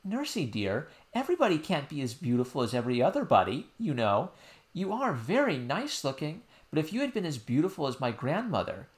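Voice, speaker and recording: A man's voice: fairly high pitch, wildly swooping pitch, brisk, pitched above the performer's own voice. A man voicing an upset young girl princess, earnest and proud, in a storybook reading. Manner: earnest, pleading. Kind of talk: audiobook character voice.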